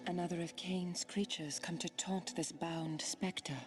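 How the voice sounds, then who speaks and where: A woman's voice: on the low side, fairly even intonation, a little slow, lightly acted. A woman voicing a bound ghost in a video game, weary, eerie and scornful, speaking in haunting riddles. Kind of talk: video game cutscene drama. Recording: loud music under the voice.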